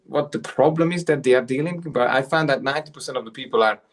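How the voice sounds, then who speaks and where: A man's voice: mid-range voice, quick pace. A man in his forties from Hungary, a coach telling his life story as an interview guest, with frequent fillers and repeated words. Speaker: guest, self-worth coach.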